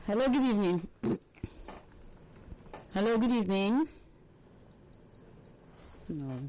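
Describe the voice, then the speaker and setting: A woman's voice: mid-range voice, expressive, slow, dark tone. Upbeat woman radio host. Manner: upbeat, laughs often, repeats greetings. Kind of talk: live radio phone-in chat.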